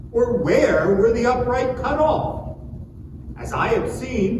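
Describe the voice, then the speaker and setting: Man as preachy scripture counselor, high voice, declamatory, earnest, distant in a noisy hall. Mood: stern questioning. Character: earnest moralizing friend admonishing a sufferer. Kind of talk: dramatic scripture reading. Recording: echoey hall from afar, noisy.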